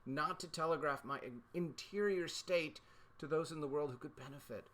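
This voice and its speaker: A man's voice: fairly high pitch, moderate pace, a bit bright. Mock-grandiose man in casual banter. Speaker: author and co-host of a readalong.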